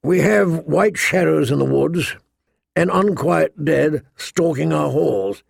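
A man voicing a gruff, grumbling old male military commander who sounds weary and disdainful. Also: on the high side, takes it slowly, dry recording, clean studio sound, full character voice.

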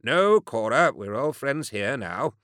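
A man's voice: mid-range voice, very animated, slow pace, fairly bright tone, no echo, studio quality, pitched above the performer's own voice. A man voicing a haughty, querulous academic, pompous and imperious, in an audiobook. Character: haughty, querulous male academic doctor, piqued and self-important.

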